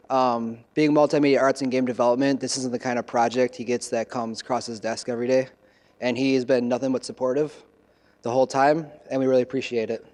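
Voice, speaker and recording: A young male student thanks his professor plainly. His mid-pitched voice stays flat and steady. The sound reverberates in a large hall. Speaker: student on the project team. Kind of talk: thank-you speech.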